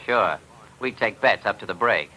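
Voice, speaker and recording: A man playing a brisk, obliging betting-office clerk in an old-time radio drama. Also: fairly low pitch, expressive, bright, forceful tone. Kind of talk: 1940s radio drama acting. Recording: noisy old radio recording.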